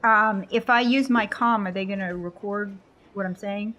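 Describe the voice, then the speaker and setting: A woman's voice: medium pitch, fairly even intonation, moderate pace, fairly bright tone. A woman taking part casually as a player in a tabletop role-playing game.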